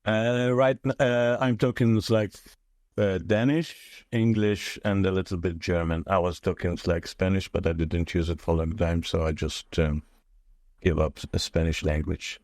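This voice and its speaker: Low, smooth-voiced man. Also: little pitch movement, a little mellow, no room sound, studio quality. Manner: halting non-native English with frequent "you know". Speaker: interview guest. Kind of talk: casual chat. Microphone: mobile device.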